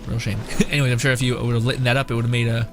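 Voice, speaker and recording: A man's voice: mid-range voice, little pitch movement, rapid-fire. Sweary man rambling over game music. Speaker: video game streamer. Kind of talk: casual gameplay commentary.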